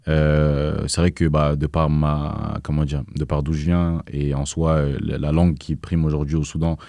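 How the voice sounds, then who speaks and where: A man's voice: extremely low pitch, little pitch movement, normal speed, creaky, warm tone, no echo. A young man talking casually in an interview, hesitant, with many fillers and restarts. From France. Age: young adult. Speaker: guest, activist.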